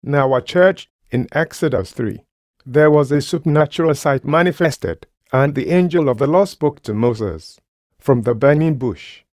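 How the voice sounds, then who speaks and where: A man delivers a sermon as a preacher in a mid-pitched voice. He is emphatic, circling back over key phrases and posing rhetorical questions. The sound is dry, with very little room echo. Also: unhurried, darkish tone.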